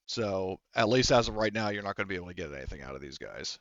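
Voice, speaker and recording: A man with a low, smooth voice narrates a tabletop roleplaying game as game master. He explains things casually and haltingly, in a close, dry but slightly dull recording. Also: lively intonation, fairly bright tone, no echo.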